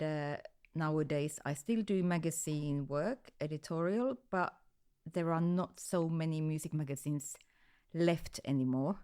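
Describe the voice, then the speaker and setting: A woman from Finland in her forties with a Finnish accent, answering interview questions hesitantly, with many pauses and restarts. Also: deep voice, darkish tone, smooth. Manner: hesitant, many ums and restarts, light laughs. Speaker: guest, music photographer.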